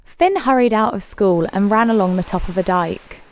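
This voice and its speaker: A woman's voice: mid-range voice, normal speed. A woman reads a children's tale as a storyteller, in a scripted narrating style. Some noise sits behind her voice.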